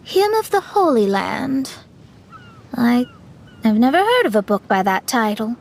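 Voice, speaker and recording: An actress voicing a composed young woman adventurer who reasons aloud in a measured way. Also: on the high side, very animated, vocal fry, a little mellow, full character voice. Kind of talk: game voice acting. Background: music.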